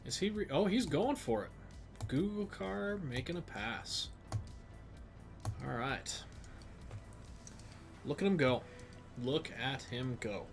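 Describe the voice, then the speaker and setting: Slow-talking stuffed-up man streamer. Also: a little high, animated, gravelly, faint music behind. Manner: slow, musing, thinks aloud with many fillers. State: stuffed up, sniffly. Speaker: streamer playing a geography game. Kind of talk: casual live-stream commentary.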